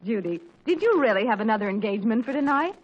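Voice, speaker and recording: A genteel middle-aged mother from old radio comedy, with a highish, husky voice that swoops up and down. She gushes politely and flutters as she hunts for her words. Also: animated, quick pace. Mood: gently scheming. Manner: gushing, hesitant, sociable small talk. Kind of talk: 1940s radio sitcom acting. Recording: muffled 1940s radio recording.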